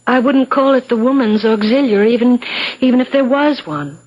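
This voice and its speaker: Actress as anxious wife, secretive, well-mannered, hesitant, earnest, higher husky voice, 1970s radio drama. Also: female voice, a little fast, highs cut off, natural voice. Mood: grave. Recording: vintage radio drama broadcast.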